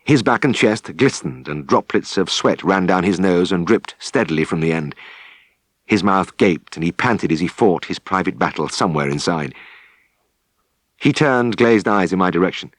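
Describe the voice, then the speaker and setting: A British man reading a memoir as an audiobook narrator, with measured, expressive storytelling. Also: deep voice, dry recording.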